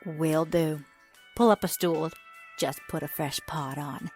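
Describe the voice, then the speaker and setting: A woman's voice: low-pitched, fairly even intonation, slow pace, darkish tone, soft background music. A woman playing a folksy, teasing small-town diner waitress with sassy, colloquial talk in a radio drama. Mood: teasing, friendly.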